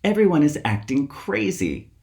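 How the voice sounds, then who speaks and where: A man's voice: on the high side, sing-song, normal speed, vocal fry, darkish tone, voice pushed up. A man voicing an eager, earnest schoolboy in a children's storybook read-aloud. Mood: worried. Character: eager schoolboy Kip, earnest young schemer.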